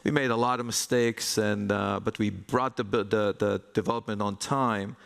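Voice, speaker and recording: A middle-aged man with an Israeli accent speaking as an executive guest in a conference interview, in measured phrases with pauses. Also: on the low side, fairly even intonation, creaky, a bit bright, clear, resonant tone. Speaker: real estate investment executive, panel guest. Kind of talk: conference interview, anecdotal talk. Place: conference hall stage. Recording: reverberant hall sound.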